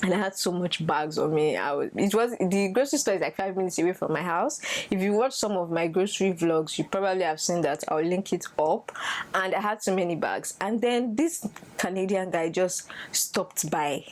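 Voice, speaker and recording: A woman from Nigeria with a Nigerian accent, telling casual stories on her vlog in an animated way, slipping into Yoruba phrases. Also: lowish voice, moderate pace. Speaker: vlogger.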